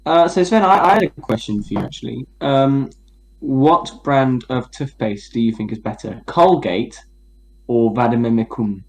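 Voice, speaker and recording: A man's voice: medium pitch, a little slow, rough recording. A man co-hosting a comedy podcast, asking absurd questions in a dry, fake-serious, hesitant way. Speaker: podcast co-host. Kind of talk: deadpan mock interview.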